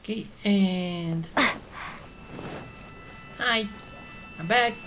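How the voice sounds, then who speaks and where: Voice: mid-range voice, drawn-out words, background noise. A game streamer giving casual, off-the-cuff commentary and talking back to the characters. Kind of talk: casual let's-play commentary.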